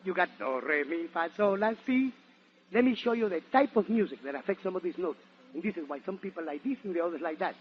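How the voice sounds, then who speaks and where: A man with a Nicaraguan Spanish accent delivers comedy in a theatrical 1940s radio manner. His voice is high and swings widely in pitch. The old recording is dull and muffled. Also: quick pace. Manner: theatrical, animated, exclamatory. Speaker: guest comedian and entertainer on a radio show. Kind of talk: 1940s radio comedy monologue before a live audience.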